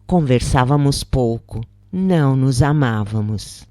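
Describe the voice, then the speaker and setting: A woman with a Brazilian accent reading a scripted story in a measured, deliberate audiobook style. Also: extremely low pitch, expressive, warm tone, no echo, studio quality. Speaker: channel narrator reading a book.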